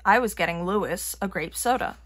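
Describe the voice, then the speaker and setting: A woman reading a children's picture book aloud in an expressive manner. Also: mid-range voice, a little fast. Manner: expressive, acting out characters' lines. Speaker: storybook reader.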